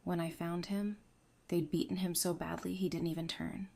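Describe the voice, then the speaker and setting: An actress playing a resolute, composed widowed survivor of an apocalypse in an audio drama, speaking in a measured, earnest way. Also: female voice, on the low side, level tone, vocal fry, darkish tone. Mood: grieving.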